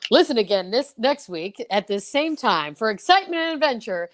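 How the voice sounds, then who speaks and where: A woman's voice: a little high, sing-song, moderate pace. A woman playing a showy, emphatic announcer signing off an old-time radio serial.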